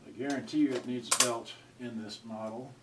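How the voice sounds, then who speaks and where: A man giving a casual, unscripted gadget review in a halting, rambling way, often trailing off mid-thought. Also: medium pitch, fairly even intonation. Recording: distant mic with clear noise and clicks.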